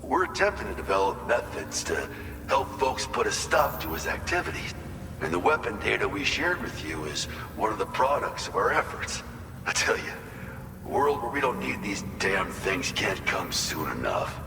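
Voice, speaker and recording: Echoey, low-voiced earnest man. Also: very animated, moderate pace, creaky, music in the background. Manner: conversational, sincere, folksy turns of phrase. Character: earnest, wistful male colonist grieving his lost brother. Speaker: game character briefing the player. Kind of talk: cinematic video game dialogue.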